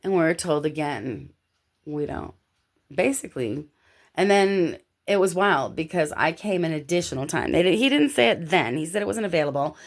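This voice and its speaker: An American woman from Los Angeles talking casually and telling personal anecdotes in an animated, slangy way. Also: low-pitched, normal speed. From Los Angeles, United States.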